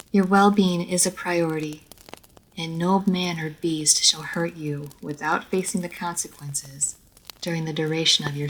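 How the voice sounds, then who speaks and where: Woman acting a low-voiced, genteel vampire lady. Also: slight background noise, close to an everyday voice, low-quality recording. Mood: grave, protective. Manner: formal, measured, old-fashioned genteel phrasing. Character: genteel immortal vampire lady of a manor, poised, teasing. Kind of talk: scripted roleplay audio story, addressed to the listener.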